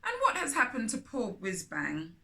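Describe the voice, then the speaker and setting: A woman's voice: a little high, wildly swooping pitch, normal speed, creaky, bright, forceful tone, full character voice. A woman voicing a kindly big male rocket in a children's storybook, concerned and praising like a parent.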